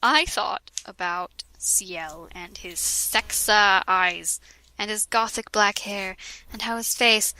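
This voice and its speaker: A woman's voice: medium pitch, takes it slowly, sharp tone, no room sound, rough recording. A young woman reading a fan fiction aloud for laughs, breaking off into jokes and mock-dramatic voices. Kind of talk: comedic dramatic reading with chat.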